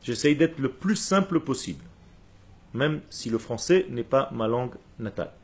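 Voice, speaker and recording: Male religious teacher, Hebrew speaker. Husky voice, mid pitch, didactic and emphatic delivery in short phrases. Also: expressive, normal speed. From Israel. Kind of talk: religious lecture to a group.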